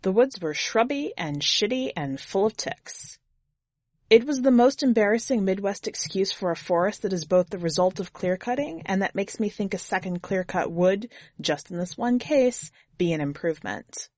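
A woman's voice: medium pitch, expressive, moderate pace, darkish tone, no echo, lightly acted. A woman narrating in the first person as a jaded, blunt twenty-year-old drifter, wry and foul-mouthed, in an audiobook story.

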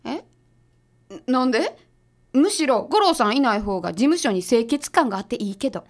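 A woman's voice: a little high, animated. A woman playing a blunt, skeptical detective's assistant with a Kansai accent in a comedic radio drama. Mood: dry. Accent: Kansai Japanese. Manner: blunt, brisk retorts.

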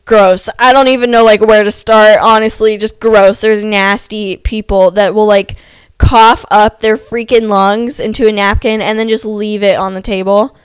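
A woman's voice: mid-range voice, moderate pace, clear, resonant tone, dry recording. A young woman hosting a casual podcast, ranting sarcastically about restaurant customers with a stuffy voice, as if she is losing it. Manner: animated, sarcastic, heavy use of "like". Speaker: podcast host.

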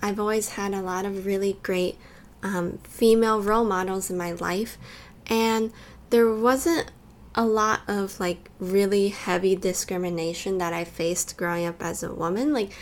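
A woman's voice: medium pitch, steady tone, a little slow. A young woman who grew up in California and Taiwan, co-hosting a podcast and talking casually with frequent "like" fillers. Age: young adult. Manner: conversational, lots of "like" fillers. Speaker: podcast co-host.

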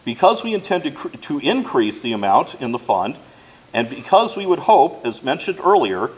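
Male worship leader, voice a little on the high side, formal and measured, reading aloud to a congregation. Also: low-quality recording. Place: church during a service.

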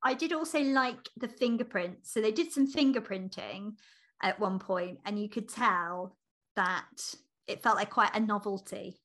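A woman co-hosting a podcast, chatting casually about books in an expressive, chatty, giggly manner. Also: medium pitch, unhurried. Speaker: podcast co-host. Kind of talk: casual book discussion chat.

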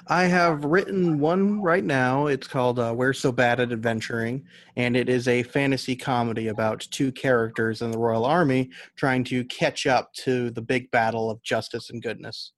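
A man's voice: medium pitch, steady tone, normal speed, few pauses, no echo. A man from the United States speaking casually as a writer being interviewed. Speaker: guest, audio drama writer.

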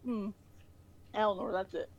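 A woman appearing as a guest on a podcast who chats casually, in an animated and slangy way. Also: mid-range voice, normal speed, highs cut off, slight background noise.

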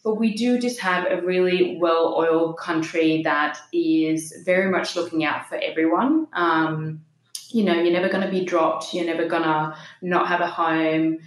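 A woman in her thirties speaks with a light Danish accent and a low voice. She talks in an open, reflective way, full of ums and restarts. The sound is roomy and echoey. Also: moderate pace. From Denmark. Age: 30s. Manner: open, reflective, many fillers. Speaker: podcast guest. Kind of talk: personal interview talk.

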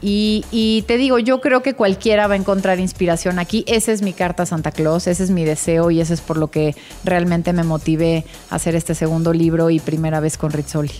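Low-pitched Mexican woman in conversation. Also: steady tone, a little fast, few pauses, darkish tone, soft background music. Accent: Mexican Spanish. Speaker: interior designer being interviewed. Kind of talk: conversational interview answers.

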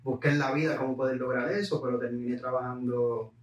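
A man from Puerto Rico with a Puerto Rican accent, a podcast guest telling his life story in an unhurried way, slipping English words into his Spanish. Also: mid-range voice, level tone, roomy sound, far from the mic, poor audio. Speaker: guest entrepreneur. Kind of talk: conversational storytelling.

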